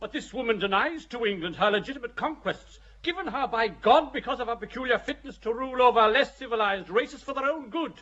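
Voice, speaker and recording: A bigoted, self-righteous English clerk, played by a man in a radio drama, with a very high, bright, tense voice. He speaks bluntly and indignantly, and the audio is muffled and lo-fi. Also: animated, fairly quick, hardly any pauses, exaggerated voice.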